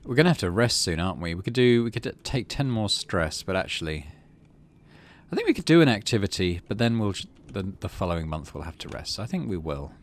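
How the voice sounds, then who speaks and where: A man's voice: fairly low pitch, big pitch swings, fast. A middle-aged man giving casual game commentary, muttering drily to himself and reading bits of narration aloud. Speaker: gaming channel host.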